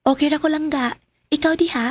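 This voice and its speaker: An actress playing a sweet, lovelorn young student and obedient niece, with a Cebuano Filipino accent in radio drama style. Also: female voice, high-pitched, brisk, lightly acted. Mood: tender, sweet.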